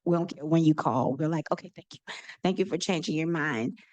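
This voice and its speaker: A woman with a loud voice asking a panel a long, earnest question full of asides. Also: sits low, fairly quick. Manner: earnest, rambling question with many "right?" asides. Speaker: audience member asking a question.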